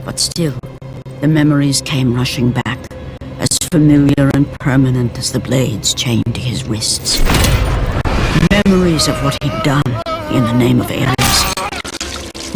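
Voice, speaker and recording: A woman playing a solemn, foreboding storyteller, narrating a video game tale of ancient war in a grave, deliberate manner. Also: rumbling low, very animated, exaggerated voice. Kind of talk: dramatic scripted game narration. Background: dramatic music.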